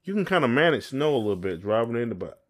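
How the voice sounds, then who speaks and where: A man's voice: mid-range voice, very animated, quick pace. A man hosting a casual podcast chat, talking in an informal, colloquial way. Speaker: podcast host.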